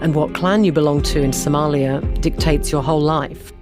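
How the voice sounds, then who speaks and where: A woman narrates a scripted documentary story as a journalist. She tells it in the present tense like a radio feature, with music clearly playing behind her. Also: deep voice, steady tone, moderate pace.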